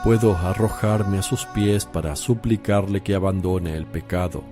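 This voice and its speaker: A man playing Jesus in a religious radio drama, a calm, solemn teacher who speaks in a measured, firm way. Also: deep voice, over music, close to an everyday voice. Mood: earnest, solemn.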